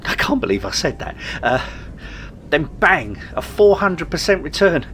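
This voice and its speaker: A man playing an earnest, apologetic investor, effusive and excitable but hesitant in his wording. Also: on the high side, normal speed, slight background noise, pitched above the performer's own voice.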